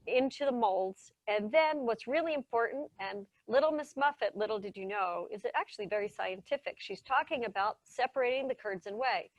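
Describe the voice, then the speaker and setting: A woman's voice: a little high, no room sound. A woman giving a casual, enthusiastic talk on how cheese is made, often correcting herself mid-sentence, over a video call. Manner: chatty, enthusiastic, self-correcting. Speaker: cheese expert presenter.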